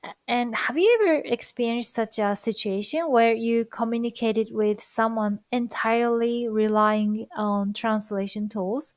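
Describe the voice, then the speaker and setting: Slow-spoken Japanese-accented woman. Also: on the high side, steady tone, no echo. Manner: slow, careful, thoughtful delivery with soft fillers. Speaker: language-learning vlogger. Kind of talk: reflective monologue to viewers.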